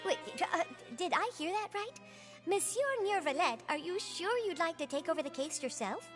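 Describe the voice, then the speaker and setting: A fussy, fretful court secretary. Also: female voice, very high voice, moderate pace. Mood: flustered. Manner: fretful, exasperated, lively. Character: fussy young female court secretary, flustered. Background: game music.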